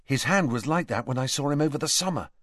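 A man's voice: fairly low pitch, animated, normal speed, no room sound, clean studio sound, lightly acted. A man voicing a teenage schoolboy in an audiobook, talking in a casual, blunt way. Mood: puzzled. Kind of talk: audiobook character voice.

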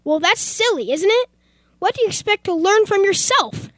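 Young woman as a very high-voiced fussy gentleman. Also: animated, normal speed, no echo, clean studio sound. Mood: exasperated. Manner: fussy, exclamatory, posh phrasing. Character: fussy, wealthy, oblivious gentleman homeowner. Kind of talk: amateur old-time radio theater acting.

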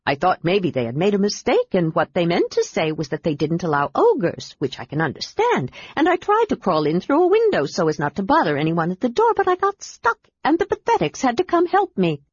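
A woman's voice: medium pitch, huge pitch swings, fairly quick, hardly any pauses, breathy edge, no room sound, highs cut off. A woman voicing an eager, chatty little male kender who rambles breathlessly. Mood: excited.

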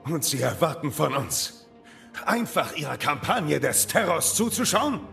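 An actor playing a stern, distrustful Victorian knight in a German audio drama, formal and commanding. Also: male voice, on the high side, sing-song, measured pace, gravelly, a bit bright, faint music behind, exaggerated voice.

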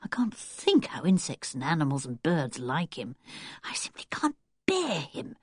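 A woman voicing an anxious, squeamish young girl in a storybook, fretful and expressive. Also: mid-range voice, moderate pace, slightly rough, no room sound, studio quality. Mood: bitter musing.

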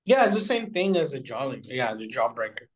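A man with a mid-pitched voice that swings widely up and down as he co-hosts. He chats casually in short, jokey reactions and is quick to laugh. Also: sing-song. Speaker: co-host of a cartoon countdown show.

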